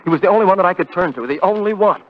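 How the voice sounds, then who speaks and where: A man's voice: high-pitched, racing, lightly acted. An actor playing a bitter, self-pitying, paranoid young man in a 1940s radio melodrama, sarcastic and repeating himself. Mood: tense, dramatic. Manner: sarcastic, muttering repeats, dramatic. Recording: dull vintage 1940s radio recording.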